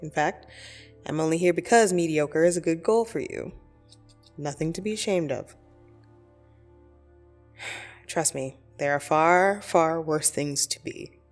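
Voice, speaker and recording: Woman acting as female dream demon, very unhurried, lowish voice, intimate ASMR roleplay, blunt, teasing, soothing, soft music behind. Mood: blunt, candid. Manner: slow, deliberate, intimate, gently condescending. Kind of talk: ASMR roleplay audio drama. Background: soft meditation music.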